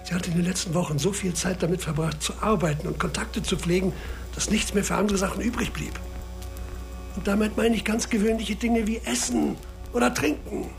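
Creaky, high-voiced male police inspector. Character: aging male police inspector, driven, increasingly frantic. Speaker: actor in a radio crime drama. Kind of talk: radio drama acting.

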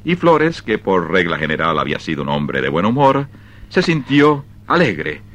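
A man narrating a dramatized short story for radio in an expressive, measured storytelling style. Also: low-pitched.

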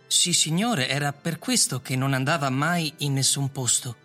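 An actor playing a dutiful robot butler in a radio drama, speaking formally in short, measured phrases. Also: male voice, mid-range voice, bright, forceful tone, faint music behind, lightly acted. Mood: deferential.

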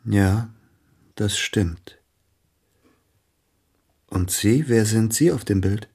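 A melancholy concert pianist in a radio play, brooding over a lost love. His voice is low and breathy, and he speaks slowly and haltingly when the thoughts weigh on him. The delivery is intimate and full of self-doubt. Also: darkish tone, exaggerated voice. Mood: curious, doubting. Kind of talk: German radio drama acting, intimate dialogue.

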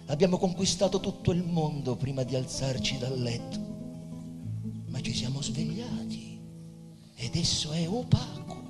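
An actor playing a weary, dreamy, melancholy poet near death, with theatrical, measured and expressive literary delivery. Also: male voice, a little high. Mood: melancholy, intense. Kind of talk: literary stage monologue and narration. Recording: reverberant hall with music behind.

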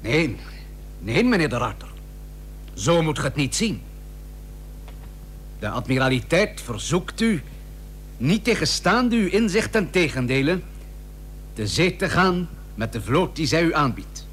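A man playing a haughty, argumentative admiralty gentleman in a historical radio drama, formal and pressing. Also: a little high, sing-song, a little slow, long pauses. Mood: placating. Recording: noisy old radio recording with hum.

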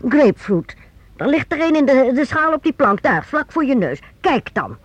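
An anxious, middle-aged captive mother for a radio play. Her husky voice moves up and down sharply as she hesitates, stammers and placates. The sound is dull, like an old broadcast. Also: sits high, sing-song, normal speed, no echo. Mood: coaxing, uneasy. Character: anxious, evasive mother held captive by her threatening son. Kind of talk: Dutch radio play acting.

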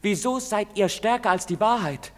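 An actor playing a bitter, accusing young apprentice of twenty in a radio drama. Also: male voice, sits high, animated, moderate pace, a bit bright. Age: 20s. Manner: bitter, accusing outbursts, trembling. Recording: long hall reverb.

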